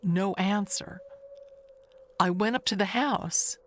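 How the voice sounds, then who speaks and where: A woman playing a chatty, evasive older neighbor who rambles haltingly through an audio mystery monologue, with noise behind her. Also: mid-range voice, natural voice. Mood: confiding, wistful.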